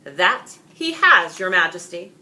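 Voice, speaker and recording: A woman's voice: fairly low pitch, big pitch swings, slow, pushed and bright, slight background noise, heavily acted. Woman playing a proud, proclaiming gallant knight. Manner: proclaiming, formal. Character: gallant young male knight, proud and formal.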